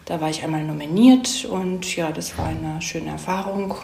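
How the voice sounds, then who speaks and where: Low-voiced woman explaining in an echoey room. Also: steady tone, normal speed, creaky, mellow, a little hiss or hum. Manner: thoughtful, hesitant, self-correcting, seeks agreement with tag questions. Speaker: interview guest, screenwriter. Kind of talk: casual explanatory talk. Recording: roomy echo.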